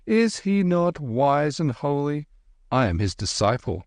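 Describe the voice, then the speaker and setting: A man voicing a cheeky, quick-witted young boy with a tart, cocky manner. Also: a little high, big pitch swings, no echo, studio quality, pitched above the performer's own voice.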